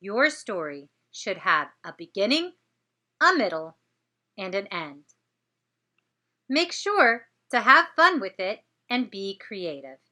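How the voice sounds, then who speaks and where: A woman teacher presenting a step-by-step lesson for children, with deliberate, encouraging delivery. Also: medium pitch, big pitch swings, sharp tone. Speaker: teacher presenting an educational show.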